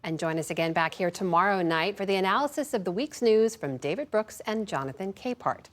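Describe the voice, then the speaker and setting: Brief: woman news anchor, mid pitch, polished measured read. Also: expressive. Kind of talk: scripted news presenting.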